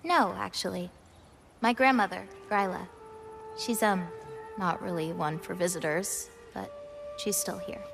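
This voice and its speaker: A woman's voice: mid-range voice, a little slow, creaky, long pauses. Earnest young girl, music behind. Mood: hesitant. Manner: hesitant, sincere, youthful. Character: earnest, gentle, hopeful teenage giantess girl. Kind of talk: dramatic video game cutscene acting.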